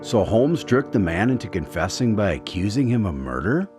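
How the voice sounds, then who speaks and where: A man's voice: fairly low pitch, sing-song, moderate pace. A man hosting a radio drama as announcer, speaking in scripted narration. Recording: loud piano music behind voice. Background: piano music.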